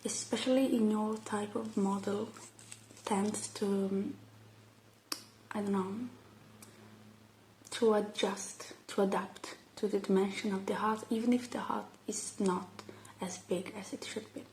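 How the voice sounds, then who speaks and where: A woman doing a soft ASMR roleplay, murmuring and whispering step by step in a hushed, hesitant way. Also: mid-range voice, fairly even intonation, unhurried, roomy sound, slight background noise, low-quality recording. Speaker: ASMR roleplay creator playing a robot repair technician.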